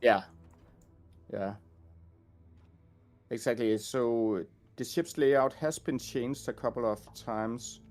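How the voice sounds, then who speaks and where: A man working as a game audio designer, talking casually and haltingly while showing a game. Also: mid-range voice, a little mellow. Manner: hesitant, many fillers and restarts.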